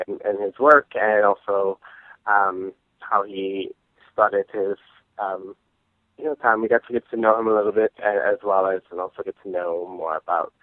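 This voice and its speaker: A man's voice: on the low side, no room sound. A man co-hosting a podcast, chatting casually in a hesitant way, with frequent ums. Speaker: podcast co-host. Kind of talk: casual chat. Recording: dry, tinny phone-like line.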